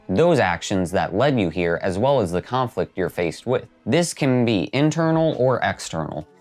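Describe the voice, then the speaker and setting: A man's voice: on the low side, darkish tone. A man hosting a video essay, reading scripted narration. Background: background music.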